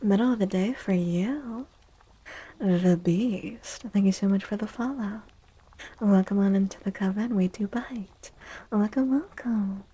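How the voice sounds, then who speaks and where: Soft breathy girl doing asmr stream chat, super chill and mellow, kinda whispery. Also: medium pitch, measured pace, low-quality recording. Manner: very chill, drowsy, often dropping to a whisper. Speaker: live stream host.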